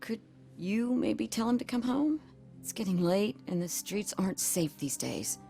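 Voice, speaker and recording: An actress playing a worried, bitter wife in a video game, talking in a blunt, plain-spoken way. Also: female voice, medium pitch, expressive, unhurried, vocal fry, mellow, faint music behind. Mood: anxious, sad.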